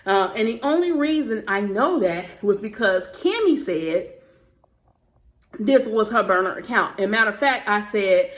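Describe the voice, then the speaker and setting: Fast-talking Southern woman vlogger. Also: medium pitch, animated. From Southern United States. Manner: fast, emphatic talk with repeated "okay" and restarts. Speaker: YouTuber. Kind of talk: heated casual commentary to viewers.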